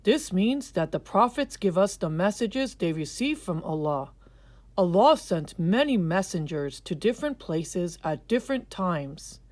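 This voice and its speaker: Woman reading as a wise storybook father, expressive delivery. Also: fairly low pitch, measured pace, no echo. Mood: patient teaching. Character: wise, kindly father gently teaching his son.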